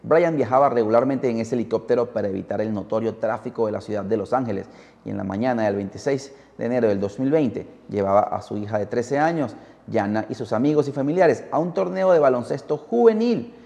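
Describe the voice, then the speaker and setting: Man narrating, dark voice, heavy reverb. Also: medium pitch, brisk, creaky. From Peru. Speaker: podcast host. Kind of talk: scripted narration, podcast storytelling. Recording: muffled, heavy hall-like reverb.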